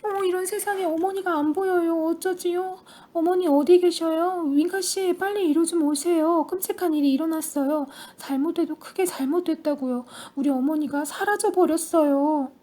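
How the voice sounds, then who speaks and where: A woman voicing an anxious middle-aged mother whose voice turns shrill and pleading in an audiobook story. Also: fairly even intonation, moderate pace, hardly any pauses, warm tone. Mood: panicked.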